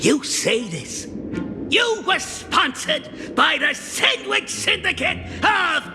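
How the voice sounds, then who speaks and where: A sly, flamboyant gang boss with a very high, gravelly voice that leaps all over its range. He drawls slowly and cackles between lines. Game music plays under a long, echoing reverb. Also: big pitch swings, full character voice. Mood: jovial. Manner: theatrical, cackling, wheedling. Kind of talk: video game voice acting.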